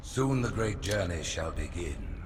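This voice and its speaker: A grave, imperious old alien priest, pronouncing judgment in slow, formal words. His low voice rings through a long, echoing hall. A steady hiss runs underneath. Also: exaggerated voice. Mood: stern judgment. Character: aged alien high priest, imperious and scheming. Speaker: religious leader passing judgment. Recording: echoey hall with background hiss.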